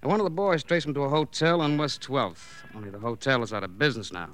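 An actor playing a wry, needling police colleague, talking breezily in an old radio crime drama. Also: male voice, medium pitch, quick pace, fairly bright tone, no room sound, natural voice. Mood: breezy briefing. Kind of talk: 1940s-50s radio drama acting.

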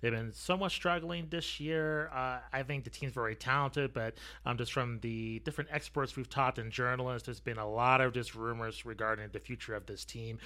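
Bright-voiced male podcast interviewer. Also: mid-range voice, steady tone, moderate pace, few pauses. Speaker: sports podcast host, interviewer. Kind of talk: casual interview questions.

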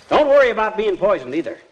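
An actor playing a cocky, bumbling middle-aged husband with a folksy, blustering manner in an old radio comedy. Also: male voice, high voice, sing-song, a little fast, highs cut off. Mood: cheerful bluster. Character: cocky, bumbling husband attempting to cook breakfast. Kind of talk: 1940s radio comedy acting. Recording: noisy vintage radio recording.

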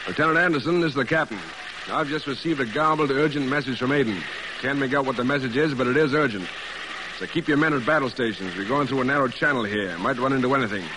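An actor playing a decisive but anxious wartime merchant ship captain in an old radio drama. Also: male voice, medium pitch, fast talker, lightly acted. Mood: firm, alert. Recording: noisy old radio broadcast recording.

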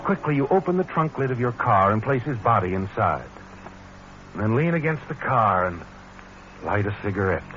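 An actor playing an ominous, knowing narrator who addresses the guilty hero in a 1940s radio thriller. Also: male voice, fairly low pitch, sing-song, moderate pace. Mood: tense, grave. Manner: knowing, dramatic, addresses the character directly. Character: ominous male narrator needling the guilty protagonist. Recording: muffled old radio recording with music.

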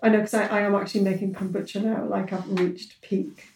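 Brief: english woman host, mid-pitched, chatty, roomy echo on a video call. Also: flat delivery, a little mellow, slight background noise. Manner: chatty, quick affirmations, laughs easily. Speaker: podcast host. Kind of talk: casual interview chat. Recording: echoey room.

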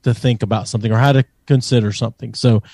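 Man, middle pitch, wry and teasing, deadpan, dry recording. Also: quick pace, vocal fry, no echo. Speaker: podcast co-host. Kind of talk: casual banter.

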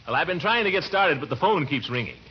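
An actor playing a pompous, grumpy, fretful middle-aged uncle in 1940s radio comedy, blustering and exclaiming. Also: male voice, fairly high pitch, lively intonation, quick pace, lightly acted. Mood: flustered, irritated. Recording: dull, noisy vintage radio recording.

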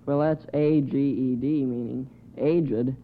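A man's voice: mid-range voice, fairly even intonation, takes it slowly. A male quiz contestant answering questions in a thoughtful, bookish manner on an old radio program. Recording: noisy phone-like old radio recording.

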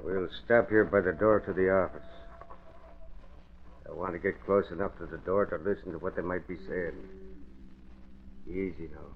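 An actor playing a gruff, bossy police officer giving curt orders in 1940s radio style. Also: male voice, low voice, steady tone, normal speed, long pauses. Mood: commanding. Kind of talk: 1940s radio drama acting. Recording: muffled vintage radio with hiss.

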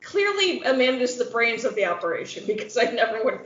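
A woman with a Midwestern American accent co-hosting a podcast, animated and laughing, swearing and acting out quoted voices. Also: a little high, a little fast. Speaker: podcast co-host. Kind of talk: casual chat. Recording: echoey lo-fi podcast audio.

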